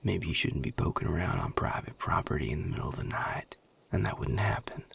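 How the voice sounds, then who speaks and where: A man voicing a guarded, gruff vampire with a Southern US accent in an audio roleplay, husky and breathy, drawling with sardonic, curt authority. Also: sing-song, brisk, fairly bright tone, in a whisper, exaggerated voice. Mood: stern, wary. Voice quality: gravelly, husky, breathy low voice. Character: guarded, gruff Southern male vampire defending his territory. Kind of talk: audio roleplay addressed to the listener.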